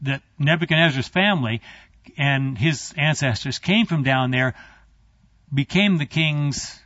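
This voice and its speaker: A man with a fairly high voice teaches a Bible class, talking in an easygoing, conversational way full of asides to his listeners. The recording is dry and a little muffled. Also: measured pace, fairly bright tone, dry recording.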